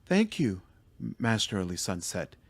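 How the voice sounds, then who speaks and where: A man voicing a gentle, shy young woman in a fantasy game, polite and hesitant. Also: fairly low pitch, very animated, a little slow, dry recording. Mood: timid concern.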